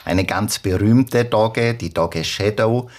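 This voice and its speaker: An actor playing an earnest male history expert, explaining facts in a measured interview manner on a radio drama. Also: fairly low pitch. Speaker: expert guest.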